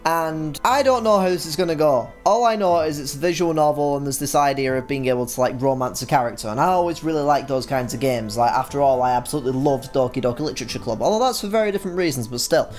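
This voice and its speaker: A young man doing let's-play commentary and reading game text aloud, energetic and joking with sudden exclamations. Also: on the high side, fast talker, hardly any pauses, soft background music. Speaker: gaming YouTuber.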